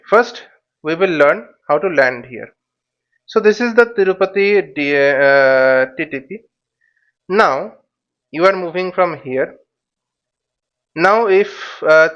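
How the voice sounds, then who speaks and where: A man giving an informal step-by-step tutorial as an instructor, speaking haltingly and repeating his words and phrases. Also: a little high, fairly bright tone. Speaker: flight simulation instructor. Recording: dull, lo-fi microphone sound.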